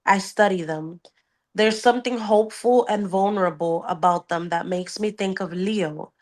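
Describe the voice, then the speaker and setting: A woman's voice: mid-range voice, normal speed. A woman podcast host reading a novel aloud and dropping into casual, blunt banter. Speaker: book club podcast host.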